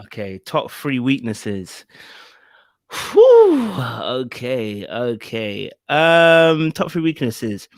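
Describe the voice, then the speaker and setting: A man's voice: mid-range voice, drawn-out words, no echo. South london guy in his 30s, chill slangy chat on a video call, dry close mic. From England. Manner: relaxed, slang-heavy, says bro a lot. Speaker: podcast guest. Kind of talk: casual interview over video call. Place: home room with shelves behind. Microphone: desk podcast mic on arm, earphones.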